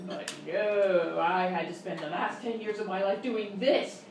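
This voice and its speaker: A woman's voice: medium pitch, measured pace, darkish tone, natural voice. A woman playing an exasperated, bitter game show host, ranting theatrically and contemptuously in live radio-style sketch comedy. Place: live stage performance in a hotel room. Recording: very echoey room, distant mic, noisy.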